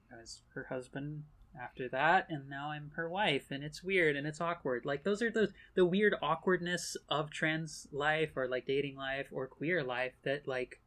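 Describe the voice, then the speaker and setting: A woman's voice: low-pitched, a bit bright. A woman speaking frankly in a casual panel discussion, talking in loose, chatty runs filled with "like.".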